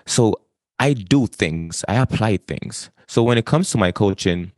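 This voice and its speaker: A man, talking as an interview guest in an energetic way, often repeating and restarting phrases. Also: fairly low pitch, measured pace, dry recording, clean studio sound. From Jamaica. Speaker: guest, wisdom coach. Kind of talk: casual interview talk.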